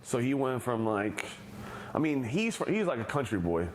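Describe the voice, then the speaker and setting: A man's voice: mid-range voice, very animated, normal speed, noisy background. A man in his thirties with an American accent, chatting casually in an oral history interview and filling his speech with "like". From Bosnia, raised in the United States. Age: 30s. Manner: casual, heavy use of "like", trailing off.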